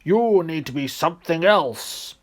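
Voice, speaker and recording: A huge, gloating frost giant. He is loud and forceful, stretching his words out slowly. The pitch climbs in villainous triumph, as in a storybook. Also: lively intonation. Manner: slow, drawn-out gloating.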